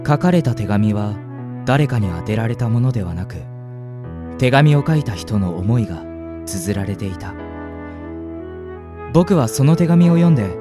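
Man playing a wistful male student, lively intonation. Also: medium pitch, normal speed, long pauses, music in the background, natural voice. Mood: wistful, reflective. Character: gentle, wistful young male university student. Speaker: voice actor in a radio drama. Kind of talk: radio drama acting with first-person narration.

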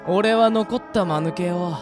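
A man playing a cocky, cruel death-game player in a Japanese radio drama, with rough, taunting, gloating speech. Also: sits high, a little slow, music in the background.

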